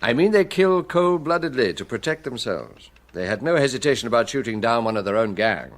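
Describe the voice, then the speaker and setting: A British actor playing a shrewd, commanding chief inspector with dry sardonic manner in a radio drama. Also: male voice, medium pitch, expressive, fairly quick, lightly acted. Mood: dry, grim.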